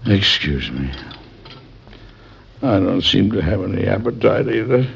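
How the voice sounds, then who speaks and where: A man's voice: low-pitched, lively intonation, a little slow, gravelly, noisy background, heavily acted. An actor with an American accent playing a stern, protective, middle-aged President and father in old-time radio drama, formal and emphatic. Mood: subdued. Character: stern, protective President and father, hot-tempered but loving. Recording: muffled old radio recording.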